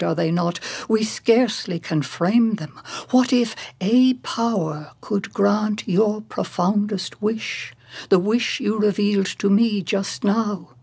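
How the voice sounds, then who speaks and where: An actress playing a probing, persuasive woman in a radio drama, questioning in a measured, insistent way with a husky, creaky voice. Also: mid-range voice, a little mellow, dry recording.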